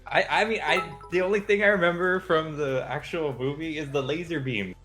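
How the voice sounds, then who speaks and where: A man's voice: a little high, a little fast. A man co-hosting a live stream in casual chat, with music playing behind him.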